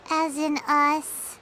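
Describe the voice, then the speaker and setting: A woman voicing a tiny, childlike fairy companion in a video game, squeaky and petulant. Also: slight background noise, full character voice. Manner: squeaky, petulant, refers to itself in third person. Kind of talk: scripted video game voice acting. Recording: loud game music behind the voice.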